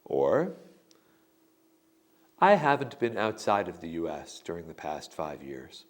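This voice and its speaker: A man giving slow, deliberate sample answers in a scripted lesson. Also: deep voice, sing-song. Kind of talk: scripted instructional narration.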